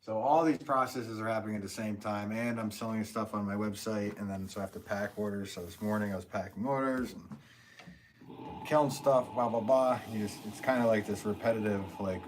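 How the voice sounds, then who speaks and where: A man with a somewhat low voice chats loosely on a livestream from his pottery studio, reading out comments and drifting between topics with frequent ums and small laughs. A clear layer of background noise runs under his voice, picked up by a camera mic a little way off. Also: normal speed. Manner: rambling, chatty, laughs often, many ums.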